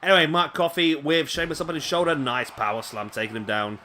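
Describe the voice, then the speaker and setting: A man giving casual play-by-play commentary on a wrestling video game, chatty with rambling asides to viewers, his voice going a little hoarse. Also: fairly high pitch, fast, background noise. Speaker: gaming commentator. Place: home room, late at night.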